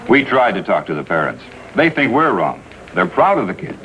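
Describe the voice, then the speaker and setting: A man playing a businesslike, exasperated American juvenile officer in a 1950s police drama, brisk and factual. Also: fairly low pitch, sing-song, a bit bright. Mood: weary, factual. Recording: noisy vintage TV soundtrack.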